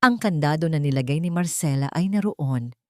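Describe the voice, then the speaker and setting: A woman storyteller reading a scripted dramatic story with suspenseful, deliberate phrasing. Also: low-pitched, expressive, dry recording, clean studio sound.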